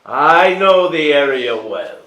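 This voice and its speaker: A man's voice: fairly high pitch, sing-song, slow pace, a bit bright, exaggerated voice. A man playing a jovial, restless king in a staged musical reading, speaking in a grand, formal, theatrical manner in a roomy live recording. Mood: warm, earnest. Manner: theatrical, grand, formal old-fashioned phrasing. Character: jovial, restless king, fond of his bumbling young page.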